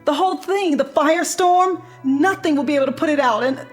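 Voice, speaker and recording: Woman acting high-voiced, frightened, despairing wife. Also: brisk, faint music behind, lightly acted. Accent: American. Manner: fretful, faltering. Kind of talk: dramatic radio acting.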